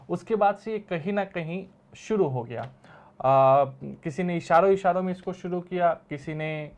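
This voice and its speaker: Male host for political discussion show, moderately high-pitched voice.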